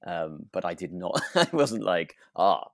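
A man speaks in a deep voice with plenty of creak. He chats casually as a podcast co-host, wandering through his points a little hesitantly. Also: big pitch swings, unhurried, fairly bright tone, dry recording, studio quality. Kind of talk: casual chat, recapping a radio drama.

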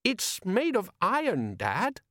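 A man plays a naive young fisherman's son in a storybook. The voice is pitched well up from the reader's own, plaintive and anxiously questioning, with a dry, close sound. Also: wildly swooping pitch, takes it slowly, fairly bright tone, no echo, full character voice. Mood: doubtful. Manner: high-pitched, querying, plaintive.

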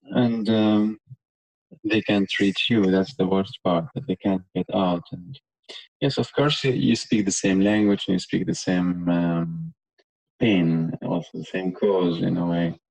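A man's voice: deep voice, fairly even intonation, a little slow, creaky, darkish tone, dry recording, rough recording. Earnest man. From Palestine. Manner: earnest, thoughtful, many "you know" tags. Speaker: musician guest. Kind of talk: reflective interview answers.

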